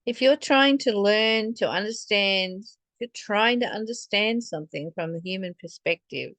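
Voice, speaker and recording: A woman reading a spiritual text and commenting on it reflectively, in a deliberate, earnest manner, like a teacher addressing the listener. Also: mid-range voice, fairly bright tone, no echo. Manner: deliberate, earnest, posing rhetorical questions to the listener.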